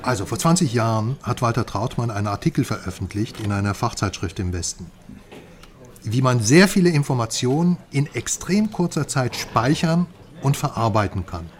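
An actor playing a secretive, mistrustful informant who explains eagerly in a German radio crime drama. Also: male voice, medium pitch, natural voice.

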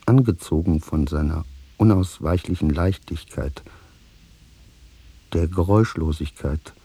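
An actor playing a sober chronicler in a radio play, reading notebook entries in a measured, husky voice. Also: male voice, sits low, mellow, no room sound, heavily acted. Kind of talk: scripted literary radio-play narration.

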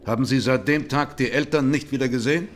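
An actor playing a formal, matter-of-fact judge questioning a witness in a radio courtroom drama. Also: male voice, mid-range voice, fast, a little hiss or hum, close to an everyday voice. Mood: formal, inquiring.

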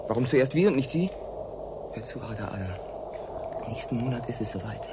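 A militant male rebel played by an actor in a radio play, insistent and agitating. His mid-pitched voice swings widely, with loud ambient noise behind it. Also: expressive, a little fast, close to an everyday voice. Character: thirsty, militant male rebel in a dying colony. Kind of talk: German radio drama acting.

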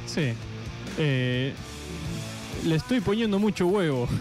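A man's voice: a little high, lively intonation, takes it slowly. A man with a Buenos Aires Argentine accent chatting casually as a radio co-host. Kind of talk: casual late-night radio chat. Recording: prominent music behind the voice.

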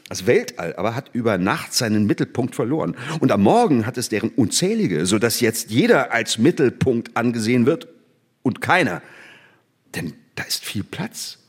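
An actor playing an exuberant, impatient scientist and teacher in a theatrical reading, declaiming with emphasis and exasperation. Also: male voice, medium pitch, sing-song, normal speed, breathy edge. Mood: enthusiastic, lyrical.

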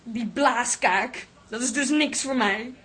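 An actress playing an insecure, feisty young daughter in a radio drama, blunt and plaintive. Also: female voice, a little high, takes it slowly, fairly bright tone, slightly rough, full character voice. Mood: glum.

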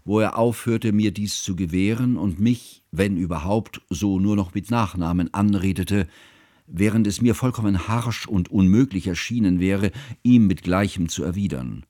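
An earnest literary narrator with a low voice, recorded very dry and close. He speaks in formal, precise sentences, as in a reflective radio play. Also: normal speed, warm tone, no room sound. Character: earnest, bookish male narrator recalling his friend's childhood.

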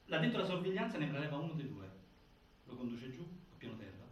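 A serious narrator on stage, pausing between phrases, echoey and far from the mic. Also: male voice, fairly high pitch, very animated. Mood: grave. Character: grave male narrator laying out a prison-death inquiry. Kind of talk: dramatic scripted stage narration. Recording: very echoey, distant, faint noise.

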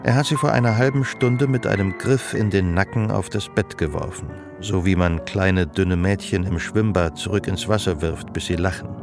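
An actor playing the sober narrator of a melancholy love story in a literary radio play. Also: male voice, low-pitched, moderate pace, hardly any pauses, over music. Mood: observant, sober.

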